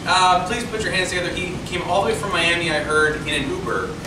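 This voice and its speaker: A man emceeing a comedy show, introducing the next act in a loose, halting, joking way. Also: sits high, noisy background. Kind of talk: stage introduction. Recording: echoey club from far off, loud music.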